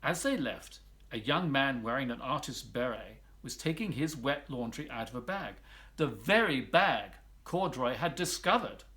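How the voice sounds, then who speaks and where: A man's voice: medium pitch, animated, moderate pace, pushed and bright. A man narrating a children's picture book expressively, as a volunteer storybook reader.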